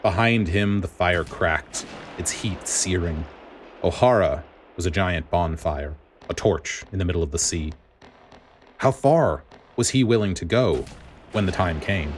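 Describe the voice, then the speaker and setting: A man reading a story aloud as dramatic scripted narration, in a grave, deliberate manner. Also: deep voice, a little hiss or hum. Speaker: podfic reader.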